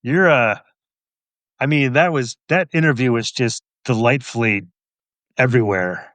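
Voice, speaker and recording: A man's voice: mid-range voice, unhurried, fairly bright tone, dry recording. A middle-aged man, a police officer and author, answering casually as an interview guest in a thoughtful, wry, self-deprecating way.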